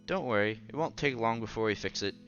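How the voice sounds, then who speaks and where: A man acts out an effusive scholarly gentleman from a visual novel. He speaks formally and flatteringly, in a bright, forceful voice over music, with slightly dull sound. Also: lowish voice, fast talker, lightly acted. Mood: effusive, courteous. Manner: formal, polite, eager to flatter.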